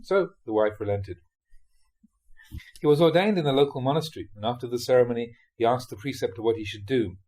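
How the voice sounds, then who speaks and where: A man reading Buddhist teaching stories aloud in the animated manner of a storyteller. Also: medium pitch, a little fast, low-quality recording. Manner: animated storyteller, acts out dialogue, often chuckles. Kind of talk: audiobook reading of dharma talk storytelling.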